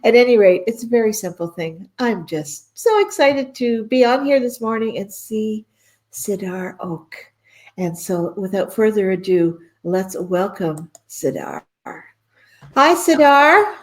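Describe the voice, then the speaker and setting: Slow-talking chatty woman host. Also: mid-range voice, expressive. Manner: chatty, self-deprecating, laughs often. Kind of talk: casual live-stream interview. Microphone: video call.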